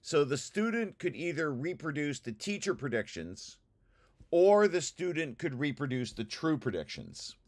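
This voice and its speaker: Man talking through technical material, haltingly. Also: on the high side, big pitch swings. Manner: hesitant, halting explanations with repeated words. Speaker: presenter reviewing own technical paper. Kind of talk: informal technical walkthrough.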